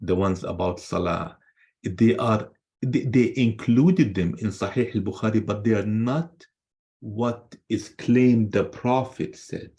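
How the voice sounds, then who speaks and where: Very low-voiced man, halting and emphatic. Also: warm tone. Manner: halting, repeats words, emphatic. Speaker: guest presenter, scholar. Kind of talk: unscripted answer to a question.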